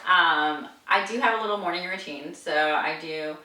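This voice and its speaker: A woman chats casually as a guest, upbeat and rambling with many ums. Her voice is fairly low yet bright and forward. The room echoes and the mic sits well away from her. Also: moderate pace, distant mic. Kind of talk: casual interview chat.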